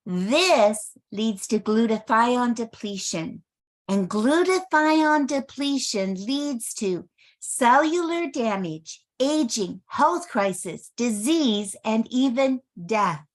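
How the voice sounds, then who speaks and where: Woman early 60s pitching health products, kinda high voice, talks slow n emphatic, repeats stuff for effect. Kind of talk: motivational product presentation to an audience.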